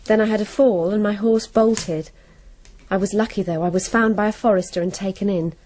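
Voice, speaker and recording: A woman's voice: mid-range voice, fairly even intonation, fairly quick, a little mellow, natural voice. An actress playing a headstrong, earnest young noblewoman in a radio drama. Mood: composed, courteous.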